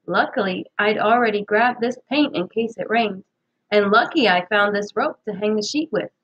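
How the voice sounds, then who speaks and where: A woman voicing an earnest girl at summer camp in a storybook, emphatic and expressive. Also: medium pitch, normal speed, lo-fi sound, close to an everyday voice. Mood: explaining.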